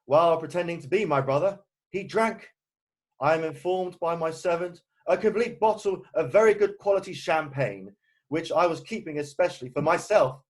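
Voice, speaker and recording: A man playing a proper, earnest gentleman guardian in an amateur play reading, formal and stiffly indignant. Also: a little high, normal speed, lightly acted. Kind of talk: amateur play read-through.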